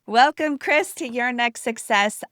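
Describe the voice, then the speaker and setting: A woman's voice: a little high, measured pace, fairly bright tone, no echo, studio quality. A woman hosting a conversational interview podcast with an effusive, encouraging manner.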